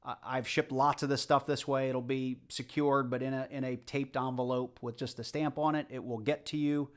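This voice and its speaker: A man's voice: medium pitch, level tone, normal speed, clean tone. A man with a light Southern US accent, casually chatting to his livestream viewers while hunting coins. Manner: chatty, rambling, talks to the chat. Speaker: livestream host.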